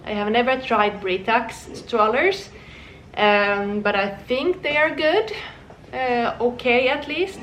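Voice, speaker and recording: Young woman, fairly high voice; rambling home vlog in an echoey room. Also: distant mic, noisy background. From Finland. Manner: chatty, rambling, frequent restarts and small laughs. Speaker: vlogger. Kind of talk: casual chat.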